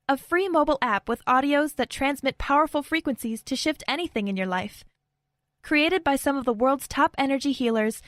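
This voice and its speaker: A woman's voice: high-pitched, no echo, clean studio sound. A woman reading a scripted advertisement as an announcer. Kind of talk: scripted ad read.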